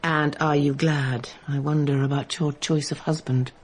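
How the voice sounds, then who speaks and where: An actress playing a scheming aristocratic mother who speaks in a measured, calculating way. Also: deep voice, little pitch movement, slightly rough. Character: scheming aristocratic mother, older woman, mercenary. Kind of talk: BBC radio drama acting.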